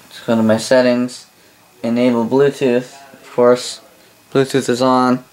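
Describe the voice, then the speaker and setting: A Canadian man casually demonstrating a gadget as a reviewer, halting, rambling and correcting himself as he goes. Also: medium pitch, little pitch movement, darkish tone, poor audio. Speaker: product reviewer. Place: small indoor room at a desk.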